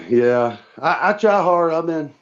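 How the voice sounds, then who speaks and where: A man being interviewed casually as a guest over the phone, speaking in a plain, low-key, somewhat hesitant way. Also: expressive, takes it slowly, vocal fry. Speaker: guest, tournament kayak angler. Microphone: remote phone call. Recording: dull, band-limited phone call audio.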